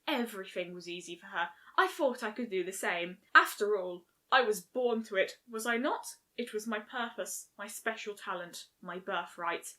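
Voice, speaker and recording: A woman's voice: mid-range voice, lively intonation, bright, forceful tone. Woman voicing a solemn, weary, regal pony princess. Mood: regretful, solemn. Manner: measured, grave, formal. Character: regal adult mare princess, weary, solemn, guilt-ridden. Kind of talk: fan fiction story reading.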